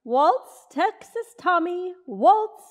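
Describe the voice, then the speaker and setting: A woman plays a teasing, long-suffering wife in an old-time radio comedy. The voice is high and takes its time, with a wry, gently scolding manner. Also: big pitch swings, drawn-out words, full character voice. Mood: fond, nostalgic.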